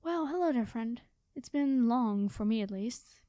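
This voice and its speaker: Woman playing a cheeky high-voiced young time traveler. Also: expressive, measured pace, dark tone, dry recording, studio quality. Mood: friendly, reassuring. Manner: casual, chatty, conspiratorial, playful. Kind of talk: ASMR storyteller roleplay.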